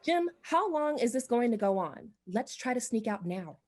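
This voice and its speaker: Woman acting as fretful young wife, high voice, anxious, reluctant, pleading, radio drama style. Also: very animated, normal speed, darkish tone.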